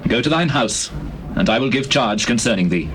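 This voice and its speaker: An actor playing a grave, authoritative biblical king in a dramatized scripture recording, measured and formal in archaic speech. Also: medium pitch, expressive, a bit bright, slight background noise, natural voice, low-quality recording. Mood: grave assurance. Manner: measured, commanding.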